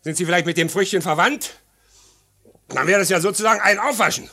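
A man's voice: high voice, brisk, vocal fry, bright, forceful tone, exaggerated voice. A man playing a self-righteous, belligerent bully in a radio drama, speaking with sarcastic scorn. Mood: scornful. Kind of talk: old German radio drama acting.

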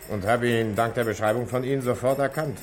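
An actor in a 1960s radio play, playing an eager, deferential junior police detective with an informal manner. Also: male voice, mid-range voice, brisk, faint music behind, lightly acted. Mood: rueful, reporting.

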